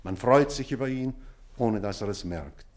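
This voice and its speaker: An actor playing an elderly retired schoolmaster in a drama, pointed and deliberate, stern but tender toward a former pupil. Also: male voice, mid-range voice, expressive, close to an everyday voice. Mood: earnest, moved. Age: older. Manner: deliberate, pointed, rhetorical repetition. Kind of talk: theatrical radio play dialogue.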